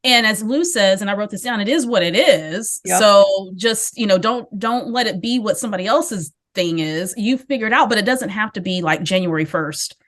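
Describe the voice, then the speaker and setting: A woman's voice: medium pitch, moderate pace, few pauses, fairly bright tone. A woman hosting a casual group conversation, chatty and reassuring, laughing easily. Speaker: host. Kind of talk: casual video-call conversation.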